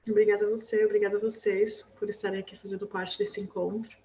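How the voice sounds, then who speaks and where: A woman's voice: mid-range voice, little pitch movement, quick pace, dark tone. A woman moderating an online panel over a video call. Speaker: event host and moderator. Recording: muffled online call with noise.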